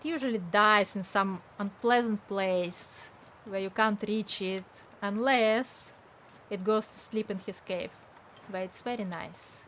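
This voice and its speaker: Slow-talking older woman streamer. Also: mid-range voice, fairly even intonation, fairly bright tone, a little hiss or hum, poor audio. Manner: chatty, talks to viewers, laughs often. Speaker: game streamer. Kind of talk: casual live-stream chat while gaming.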